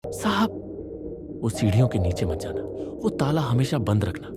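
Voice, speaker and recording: A man voicing an evasive, uneasy house servant in a horror story, speaking in a hushed, deferential and hesitant way. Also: medium pitch, very animated, moderate pace, very noisy, natural voice. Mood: hushed warning. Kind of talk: acted dialogue in a horror story.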